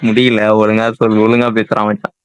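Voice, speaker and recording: A man's voice: fairly low pitch, monotone, quick pace, smooth, no room sound. Man on a casual, dry-sounding call. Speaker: prank call recipient. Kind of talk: casual phone chat in slang.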